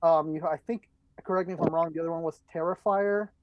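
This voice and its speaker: A man's voice: fairly high pitch, fairly even intonation, quick pace, crowd noise. A man from El Salvador, talking casually as the host of an interview podcast. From El Salvador, moved to the United States as a child. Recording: dull, dry lo-fi microphone.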